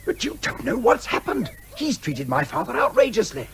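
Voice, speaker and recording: A man's voice: high-pitched, sing-song, normal speed, a little hiss or hum. An actor playing an aggrieved, earnest man, a major's son, in a radio comedy drama. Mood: indignant.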